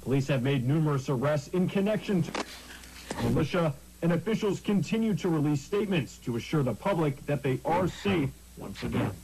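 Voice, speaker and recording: A man's voice: on the high side, lively intonation, brisk, vocal fry, noisy background. A man playing a formal, reassuring TV news anchor reading a scripted report. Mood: formal, measured.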